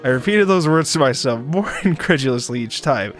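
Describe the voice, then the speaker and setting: A man reads a visual novel aloud for a stream in a mid-pitched voice, with faint game music behind him. His narration is expressive, and he slips into playful asides of his own. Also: a little fast.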